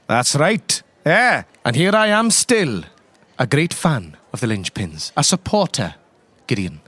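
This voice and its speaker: A man's voice: mid-range voice, big pitch swings, measured pace, a bit bright, dry recording. A man playing a vain, chatty Irish priest in his sixties, rambling and coaxing with folksy tag questions. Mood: boastful, playful.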